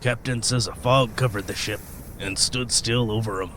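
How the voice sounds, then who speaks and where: Man playing a gruff old sailor, noisy background. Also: on the low side, steady tone, moderate pace, fairly bright tone, lightly acted. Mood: grave, ominous. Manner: folksy rustic speech, hesitant storyteller. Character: weathered old sailor, gruff, modest teller of sea tales. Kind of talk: radio play acting.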